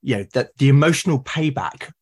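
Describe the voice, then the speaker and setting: A man's voice: medium pitch, animated, a little fast, breathy edge. A man with a British accent chatting casually and earnestly as a podcast guest. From Britain. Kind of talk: casual conversation.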